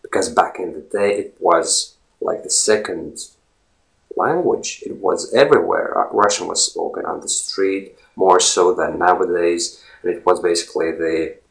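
Gravelly Latvian man, rambling monologue. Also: deep voice, unhurried, low-quality recording. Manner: rambling, filler-heavy, repeats words. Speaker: language blogger. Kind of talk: casual monologue.

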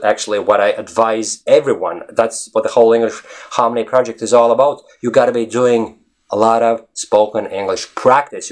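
A man from Latvia, a native Latvian speaker with a Latvian accent, talking casually and emphatically to his online audience as an English-learning vlogger. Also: medium pitch. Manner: emphatic, conversational, often checks with "you know what I mean". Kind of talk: casual explanatory talk to viewers.

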